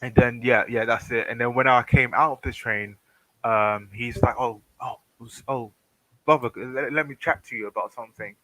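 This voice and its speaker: A man's voice: medium pitch, steady tone, normal speed, bright, forceful tone, dry recording, low-quality recording. A British man on a voice call, telling a story hesitantly, with many ums and restarts. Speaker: guest on a Discord hangout. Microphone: computer mic on a voice call, no webcam.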